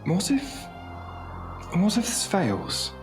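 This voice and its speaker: Audio drama lead: male actor as wry, introspective time-travelling hero, unhurried, expressive swings in pitch. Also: music in the background, heavily acted. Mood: pensive, resigned. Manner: musing, wry, talking to himself. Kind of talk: fan audio drama acting.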